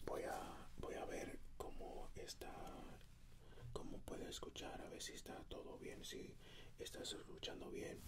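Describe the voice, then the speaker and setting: Guy whispering real soft, asmr doctor exam thing, gentle step by step reassurance, close to the mic. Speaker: ASMR performer playing a doctor. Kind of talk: ASMR medical exam roleplay. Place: indoors, dark backdrop. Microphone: close microphone.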